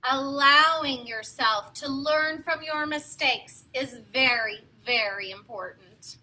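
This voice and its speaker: Bright, high-voiced woman hosting children's story time. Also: takes it slowly, low-quality recording. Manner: encouraging, expressive, simple phrasing for small children. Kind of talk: read-aloud and talk to young children.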